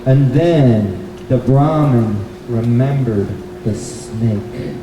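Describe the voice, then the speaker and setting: A man telling a children's story live to an audience, in simple, deliberate phrases. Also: medium pitch. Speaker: puppet show storyteller and presenter. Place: indoor bookstore event. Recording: very echoey, noisy hall, distant microphone.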